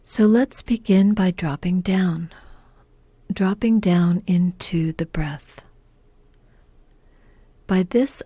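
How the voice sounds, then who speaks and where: Slow calm lady doing guided meditation, soft breathy half whisper, a bit low, gentle and unhurried. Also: no room sound. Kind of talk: guided meditation, scripted narration.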